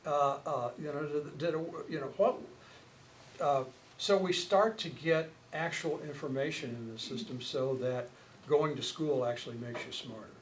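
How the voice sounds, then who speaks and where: Halting older American man, higher-pitched voice. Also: poor audio. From Chicago, United States. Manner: halting, thinking aloud with repeated words. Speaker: lecturer, education researcher. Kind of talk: informal seminar question and answer. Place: seminar room, at a wooden podium. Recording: noticeable background room noise.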